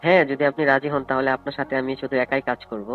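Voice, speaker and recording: Wealthy male client played by a man, quick, hesitant yet persuasive delivery, tinny phone sound with faint music. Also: medium pitch, steady tone, close to an everyday voice. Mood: eager, coaxing. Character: wealthy male event client, politely insistent, propositioning.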